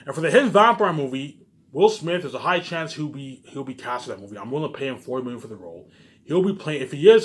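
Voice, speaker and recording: Fast-talking man, boastful and rambling. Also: medium pitch. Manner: repetitive, often tacks on "obviously" as a tag; boastful, emphatic. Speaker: amateur filmmaker pitching movie ideas. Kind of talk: rambling off-the-cuff monologue.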